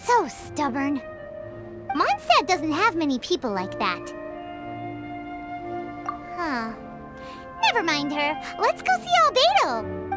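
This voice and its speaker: A woman voicing a tiny, excitable, childlike fairy companion in a video game, squeaky and exclamatory. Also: slow, pauses often, over loud music, full character voice. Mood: grumbling, eager. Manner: refers to self in third person, exclamatory.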